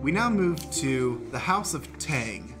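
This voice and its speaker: A man's voice: medium pitch, big pitch swings, slow. A man narrating stage directions as the stage manager of a comic improvised radio play. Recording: loud music behind the voice.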